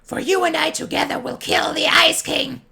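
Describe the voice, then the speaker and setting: A scheming, vengeful old witch played by a man reading a storybook speaks high and piercing. Her voice is heavily gravelly and sharp. She sounds bitter and hungry for revenge. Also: fairly even intonation, moderate pace, full character voice.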